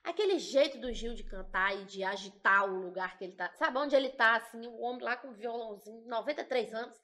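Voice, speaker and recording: Chatty Brazilian woman songwriter, slightly high. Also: fast talker, bright, forceful tone. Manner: chatty, searching for words. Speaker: songwriter, band member. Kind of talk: casual podcast interview.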